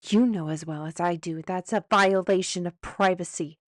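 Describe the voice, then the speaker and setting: A woman's voice: fairly low pitch, fairly even intonation, moderate pace, dry recording, studio quality, natural voice. An actress playing a sarcastic, defiant young woman who stands up to a commander in an audio drama. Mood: indignant. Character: defiant, sardonic young woman, lamp wielder.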